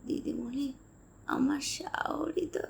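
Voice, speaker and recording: A woman voicing a meek, sickly, downtrodden young housemaid in a dramatized story, faint and breathy. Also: sits high, takes it slowly, voice pushed up, exaggerated voice. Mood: weak, pleading.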